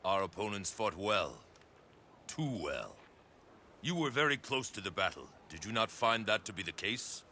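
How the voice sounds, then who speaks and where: A man's voice: on the low side, big pitch swings, moderate pace, sharp tone, slight background noise, exaggerated voice. An actor playing an ambitious male warlord in a video game cutscene, speaking with authority and probing persuasion. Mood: commanding.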